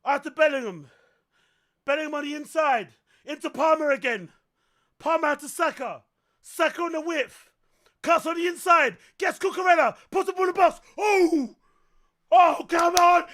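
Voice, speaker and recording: A man reacting live to a football match, excitable and yelling and swearing at the screen. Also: very high-pitched, measured pace, a faint crowd. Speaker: streamer host.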